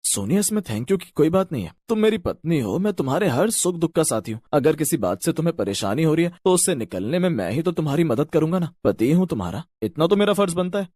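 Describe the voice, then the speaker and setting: Guy playing earnest indian family man in a cartoon story, highish voice, really lively intonation, dry sound. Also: fast, few pauses, no echo. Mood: supportive. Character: earnest men of an Indian family, husband and in-law. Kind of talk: animated story dialogue.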